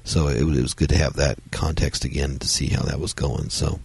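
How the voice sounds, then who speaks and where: Very deep gravelly man with a cold. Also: level tone, brisk, a little mellow, no room sound. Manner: rambling, many fillers and restarts. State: has a cold, strained voice. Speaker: podcast host reviewing films. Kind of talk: solo casual review talk.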